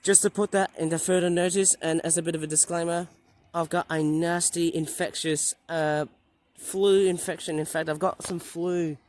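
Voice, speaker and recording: A man, his voice a bit raised and croaky from the flu. He rambles on with many fillers and restarts. He is talking outdoors near an airport runway, into a handheld camera mic. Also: a little high, fairly even intonation, moderate pace, darkish tone. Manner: rambling, hesitant, filler-heavy. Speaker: plane-spotting vlogger. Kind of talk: casual running commentary.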